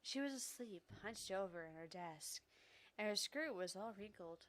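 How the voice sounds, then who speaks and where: Female let's-play host, mid-pitched, informal amateur read-aloud, loose and sweary, trips over lines. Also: animated, normal speed, fairly bright tone. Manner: casual, sweary, stumbles over the script. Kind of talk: amateur read-aloud mixed with casual commentary.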